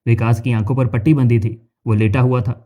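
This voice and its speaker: A male narrator reads a scripted cartoon story. His pitch is average and his tone is soft and dark. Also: steady tone, fairly quick.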